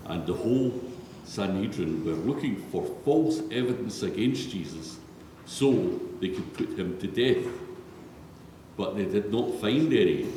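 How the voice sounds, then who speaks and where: Slow, low-voiced man in an echoey church. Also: dark tone. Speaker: narrator. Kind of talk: solemn scripture reading. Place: large reverberant church. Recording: very echoey church, faint noise.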